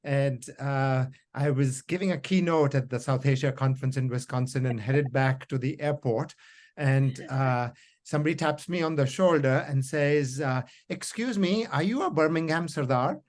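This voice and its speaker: A man's voice: mid-range voice, normal speed, darkish tone. A middle-aged man from India, whose first language is Hindi, giving reflective academic remarks on a panel with many fillers. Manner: reflective, storytelling, frequent fillers. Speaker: panel discussant.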